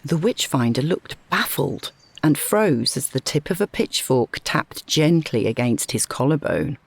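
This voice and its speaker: Female story narrator, low register, scripted dramatic prose, close dry recording. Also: big pitch swings, a little slow, husky, no room sound.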